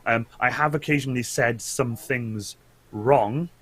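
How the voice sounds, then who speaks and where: A man's voice: mid-range voice, a little slow, slightly rough. A man from Wales with a Welsh accent and Welsh as his first language, a guest chatting casually about streaming, full of restarts and fillers. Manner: chatty, lots of restarts and fillers, laughs often. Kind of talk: casual chat on a live show.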